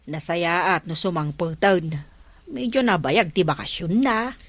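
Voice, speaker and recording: A woman's voice: lowish voice, unhurried, no room sound. A woman playing a helpful, easygoing villager in an Ilocano radio comedy. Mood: obliging. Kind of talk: comedic radio drama acting.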